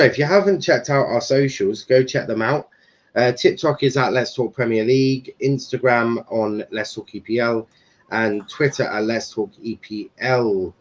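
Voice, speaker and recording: A young man hosting a casual football commentary stream, rambling with fillers and swearing. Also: medium pitch. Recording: dull lo-fi stream audio.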